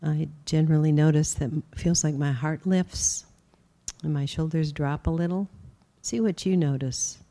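A woman teaching a guided meditation, speaking gently and unhurriedly with long pauses. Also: sits low, fairly even intonation.

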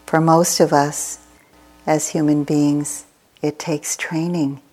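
A woman teaching a guided meditation in short, measured, soothing phrases with long pauses between them. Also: sits low, flat delivery, warm tone, rough recording.

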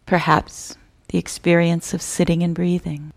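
A woman teaching a guided meditation in a measured, gentle manner, with long pauses between phrases. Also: on the low side, little pitch movement, creaky.

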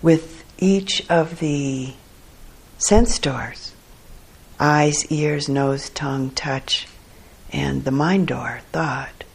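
A woman meditation teacher guiding a sitting practice, speaking gently and unhurriedly in short phrases broken by long pauses. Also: deep voice, husky. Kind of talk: guided meditation instruction. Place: meditation hall.

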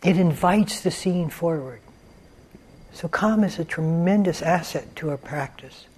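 A man teaching a guided meditation in a calm, gentle manner, leaving long pauses between phrases. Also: a little high, slightly rough. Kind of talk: guided meditation, unscripted instruction.

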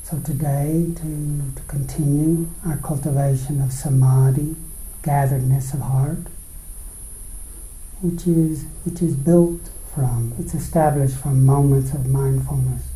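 A man leads a guided meditation in a roomy, echoing space. His voice is very dark and mellow. He moves slowly, setting out short phrases and pausing between them. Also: medium pitch, fairly even intonation. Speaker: meditation teacher. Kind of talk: guided meditation, dharma talk.

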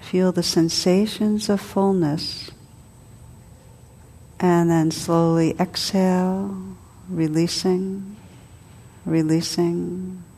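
A woman leading a guided meditation as a teacher, soothing and unhurried, speaking in short phrases with long pauses. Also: lowish voice, dark tone, husky. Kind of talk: guided meditation, spoken to a group.

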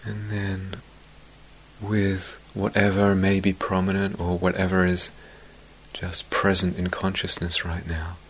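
A man teaching guided meditation in a gentle, soft-spoken manner, leaving long pauses and letting phrases trail off toward a whisper. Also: deep voice, monotone, a little hiss or hum, poor audio.

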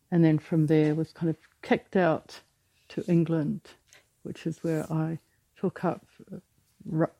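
Low, mellow woman teacher in her sixties. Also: no echo, rough recording. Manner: halting and reflective, with frequent restarts. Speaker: meditation retreat teacher. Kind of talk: dharma talk.

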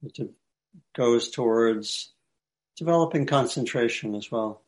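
A man teaching meditation, giving light guided instructions in short phrases with pauses between them. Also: mid-range voice.

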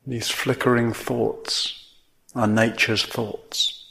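A man teaching a guided meditation in measured phrases, with long pauses between them. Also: mid-range voice, level tone, vocal fry, bright, forceful tone, rough recording.

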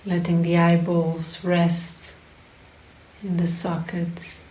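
A woman leading a guided meditation as a teacher, speaking in short, unhurried phrases with long pauses between them. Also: on the low side, completely flat delivery, darkish tone. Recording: roomy, echoing sound.

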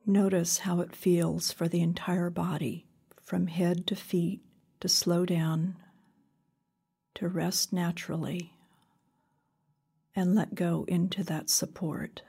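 A woman leading a guided meditation in a calm, gentle, unhurried way with long pauses, her voice soft and breathy. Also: lowish voice. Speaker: meditation teacher. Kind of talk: guided body scan meditation.

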